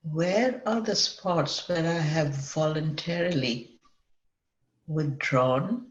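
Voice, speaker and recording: A woman leading a guided meditation in a measured way, often pausing in the middle of sentences. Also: deep voice. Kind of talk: guided meditation, semi-improvised. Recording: dull lo-fi, echoey room.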